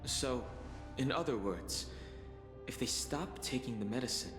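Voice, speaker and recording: A man voicing a quiet, serious young warrior in a video game, thoughtful and understated. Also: medium pitch, lively intonation, measured pace, natural voice. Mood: grave, pensive. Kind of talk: scripted video game voice acting. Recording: echoey reverb, music behind.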